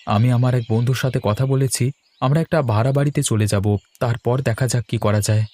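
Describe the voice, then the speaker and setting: A man's voice: medium pitch, brisk, mellow, close to an everyday voice. A man voicing a worried, earnest young married son in cartoon dialogue. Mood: resigned. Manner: earnest, explanatory. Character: worried, newly married young son explaining family troubles.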